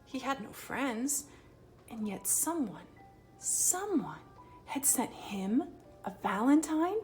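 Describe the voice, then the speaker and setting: Woman storyteller, lively swooping intonation, slightly high voice, children's read-aloud, expressive pauses, faint music. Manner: animated, dramatic emphasis and pauses.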